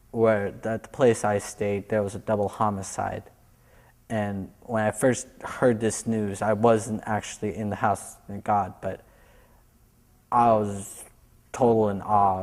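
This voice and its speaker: A young man speaks as a student recounting his own story. His voice sits low and flat, and he talks in a subdued, measured way. Long reverb trails his words. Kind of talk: personal testimony.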